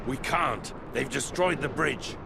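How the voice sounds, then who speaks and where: An actor playing a crude, earthy older veteran patrolman in a fantasy game cutscene, grumbling in a creaky voice. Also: mid-range voice, a little slow, background noise. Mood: alarmed.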